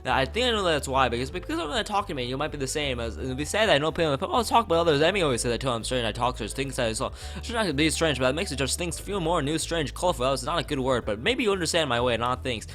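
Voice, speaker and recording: A man voicing a strange, timid teenage schoolgirl in a visual novel, rambling in halting, muddled run-on sentences. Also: on the high side, very animated, fairly bright tone, soft background music, voice pushed up. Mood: nervous rambling.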